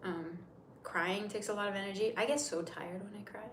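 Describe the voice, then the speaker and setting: A woman's voice: medium pitch, steady tone, normal speed. A 30-year-old woman from Turkey, a native Turkish speaker, hosts a casual livestream in English, rambling chattily as she answers viewers. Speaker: livestream host. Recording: echoey room with faint noise.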